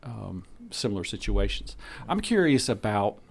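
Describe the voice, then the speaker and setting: A man's voice: fairly low pitch, very animated, normal speed, gravelly. A man hosting a podcast who asks thoughtful, curious questions in a casual interview. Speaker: podcast host.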